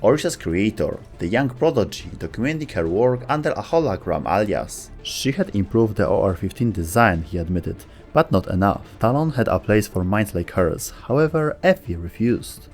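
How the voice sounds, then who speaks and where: A man's voice: on the low side, animated, moderate pace, hardly any pauses. A man delivering scripted storytelling narration for a video game lore video. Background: faint background music.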